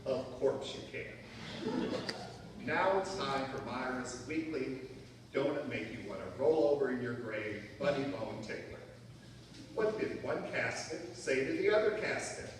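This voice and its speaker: A man playing a cheerfully morbid, salesmanlike pitchman in a parody radio commercial. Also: expressive, measured pace, close to an everyday voice. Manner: punning, salesmanlike. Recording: echoey hall from far off, noisy.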